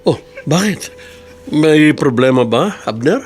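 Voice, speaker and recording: An actor playing a kindly, generous middle-aged boss, consoling and calm with quiet authority in a radio drama. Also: male voice, a little high, takes it slowly, faint music behind. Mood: reassuring. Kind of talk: Tagalog radio drama acting. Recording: radio drama with audible background noise.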